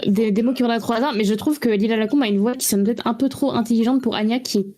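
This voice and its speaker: A woman's voice: fairly high pitch, quick pace, warm tone. A woman co-commentating in casual chat, hesitant as she thinks aloud.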